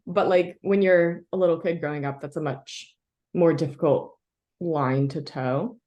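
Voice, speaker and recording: Low-voiced woman answering thoughtfully. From United States. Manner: thoughtful, halting, many fillers and soft laughs. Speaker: interviewee, historian. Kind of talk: reflective interview answers.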